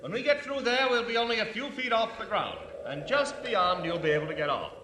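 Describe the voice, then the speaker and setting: An actor playing a polite, talkative, affable but slightly evasive gentleman in a 1940s radio drama, given to careful explanation. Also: high-pitched, expressive, brisk. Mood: affable, reassuring. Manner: polite, explanatory, hesitant when questioned. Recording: noisy vintage radio recording.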